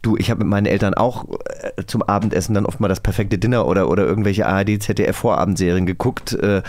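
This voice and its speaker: Low-pitched man chatting casually. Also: steady tone, fast, a little mellow. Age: 40s. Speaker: podcast host. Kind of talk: casual chat.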